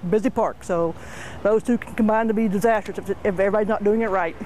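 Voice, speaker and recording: Fast-talking man by a road. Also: high-pitched, fairly even intonation, heavy background noise. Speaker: police captain. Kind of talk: on-location interview. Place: outdoors by a busy road.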